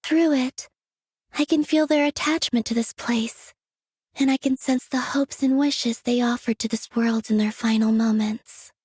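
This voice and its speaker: A woman voicing a gentle, dreamy young moon maiden from a video game, speaking softly and airily in an unhurried, serene way. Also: fairly high pitch, steady tone, no room sound, clean studio sound. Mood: calm, wistful. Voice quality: soft, breathy. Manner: unhurried, airy, serene, delicate. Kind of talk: scripted video game character voice lines.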